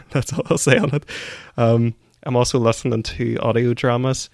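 A man's voice: medium pitch, fairly even intonation, moderate pace, creaky, darkish tone, dry recording, clean studio sound. A man co-hosting a podcast, giving his opinions and recommendations in casual chat. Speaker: podcast co-host.

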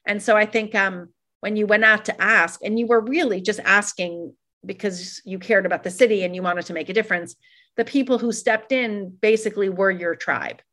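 A woman's voice: medium pitch, fairly even intonation, moderate pace, bright, forceful tone. A woman from Iran being interviewed, speaking reflectively in long flowing thoughts with frequent fillers. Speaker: guest. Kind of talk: conversational interview.

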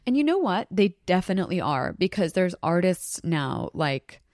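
Woman, young adult, from Southeast Alaska, podcast guest, excited storyteller, lowish voice, dry close sound. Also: normal speed, dry recording. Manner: excited, storytelling, breaks into laughter. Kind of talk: casual chat.

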